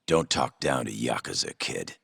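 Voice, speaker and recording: An actor playing a surly young yakuza shopkeeper who talks in a gruff, foul-mouthed, irritable way. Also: male voice, extremely low pitch, very animated, moderate pace, bright, forceful tone, no echo, clean studio sound, full character voice. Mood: threatening. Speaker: yakuza store owner. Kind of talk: video game cutscene voice acting.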